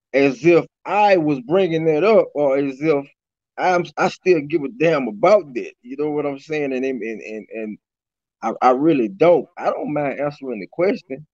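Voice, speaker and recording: Man, raspy, middle-aged, dull lo-fi call audio, dry sound. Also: on the high side, a little mellow, dry recording. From Baton Rouge, Louisiana. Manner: loose, repeats "you know what I'm saying". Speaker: rapper, interview guest. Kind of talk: casual interview chat. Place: small room indoors. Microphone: webcam on a video call.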